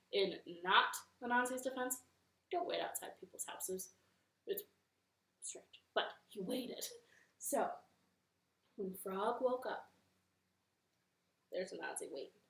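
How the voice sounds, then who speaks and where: A woman library storyteller reading a children's story at home, animated, with playful asides to her listeners. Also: medium pitch, slow, pauses often, echoey room, whispered, poor audio. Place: living room at home.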